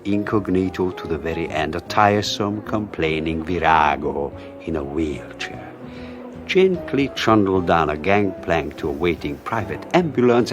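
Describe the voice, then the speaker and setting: An actor playing a suave, scheming man who plots with his lover, speaking in a silky, mocking, drawn-out way, in a 1980s radio drama. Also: low voice.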